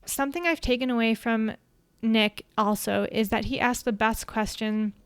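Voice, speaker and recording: Quiet, smooth-voiced woman reading a script. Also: fairly high pitch, moderate pace, no echo. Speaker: podcast host. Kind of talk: scripted solo talk. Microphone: studio microphone.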